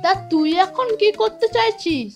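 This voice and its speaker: A woman voicing a timid, excitable little village boy in a children's cartoon, nervous and easily rattled. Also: very high-pitched, unhurried, faint music behind, heavily acted. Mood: doubtful, thoughtful. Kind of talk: children's moral-story cartoon acting.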